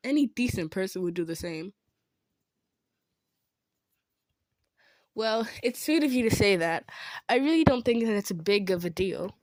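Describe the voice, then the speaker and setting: A kind centaur traveler played by a woman in a roleplay audio drama. She speaks at a middle pitch, earnest and gentle, stammering when modest. The sound is dry, with no room to it. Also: lively intonation, fairly quick, dry recording, lightly acted. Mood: caring, upbeat. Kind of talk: improvised roleplay audio drama, addressed to listener.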